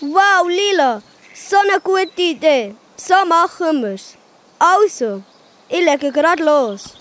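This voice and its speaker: Children's audio play: woman as young Swiss German boy, keen but sulky, very high, slow childlike delivery. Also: expressive, a bit bright, full character voice. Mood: excited.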